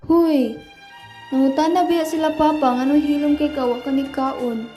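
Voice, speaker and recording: Woman playing a high-pitched nagging young girlfriend. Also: fairly even intonation, moderate pace, dark tone, faint music behind, natural voice. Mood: coaxing, impatient. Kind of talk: radio drama dialogue.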